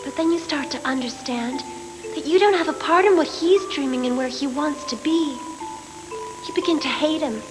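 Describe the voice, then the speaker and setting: Woman voicing a high-pitched, earnest cyborg warrior girl. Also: normal speed, lightly acted. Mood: brooding, jealous. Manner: earnest, dramatic. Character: young cyborg warrior girl, devoted, fierce, lovestruck. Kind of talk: dubbed anime voice acting. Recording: music behind, old VHS tape dub.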